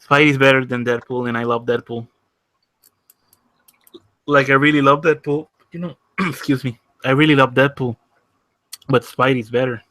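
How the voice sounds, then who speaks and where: A man's voice: mid-range voice, steady tone, normal speed, long pauses, fairly bright tone, no room sound. A man in his early twenties chatting casually in a laid-back, hesitant way, full of fillers. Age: 20s. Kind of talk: casual chat.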